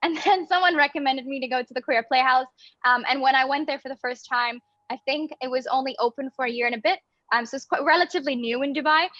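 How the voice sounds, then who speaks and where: A young woman from Belgium chats through a video call in an upbeat, bubbly way, with a light laugh slipping between phrases. Her voice sits high and sounds bright and crisp. The audio is dry but a little dull, as a call line is. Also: fast, no echo. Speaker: guest, improv theater artistic director. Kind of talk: casual interview chat.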